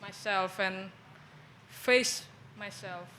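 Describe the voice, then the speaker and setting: A woman's voice: medium pitch, drawn-out words, sharp tone, a little hiss or hum. A woman from Bali with an Indonesian accent, a guest on a discussion panel, speaking hesitantly and searching for words in front of an audience. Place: event venue with an audience.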